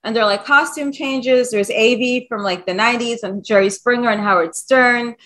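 A woman with a fairly high voice chats casually as a podcast host. She rambles from one point to the next with eager enthusiasm. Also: normal speed, a bit bright. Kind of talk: casual chat.

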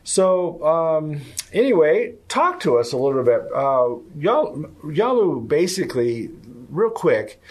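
Relaxed, informal older male host. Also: fairly high pitch. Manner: relaxed and informal, loosely introducing his guest. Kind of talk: casual interview introduction.

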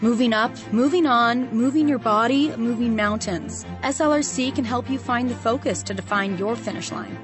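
A woman's voice: on the high side, normal speed, hardly any pauses. An American woman delivering a scripted sponsor message as an announcer. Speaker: advertisement announcer. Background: music.

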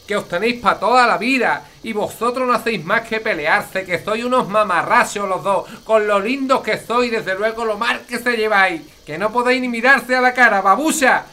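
A woman's voice: on the high side, normal speed, few pauses, pushed and bright. An actor voicing a scolding but affectionate old village grandmother with an Andalusian accent, folksy and exclamatory, in an audio family comedy. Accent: Andalusian Spanish.

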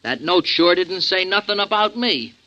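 An actor playing an earnest, streetwise small-time sidekick with an American accent and slangy, ungrammatical speech, in 1940s radio drama style. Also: male voice, sits high, expressive, normal speed, lo-fi sound. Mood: puzzled, frank. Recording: vintage 1940s radio recording.

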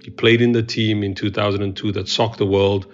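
A man's voice: fairly low pitch, little pitch movement, a little fast. A man giving conversational, explanatory analysis as an expert in an interview. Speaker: expert commentator. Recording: dull, lo-fi interview audio.

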